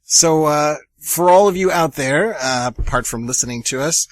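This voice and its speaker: A young man hosting a college radio theater show, chatty and energetic with plenty of fillers. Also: a little high, moderate pace, dry recording, low-quality recording. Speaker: host.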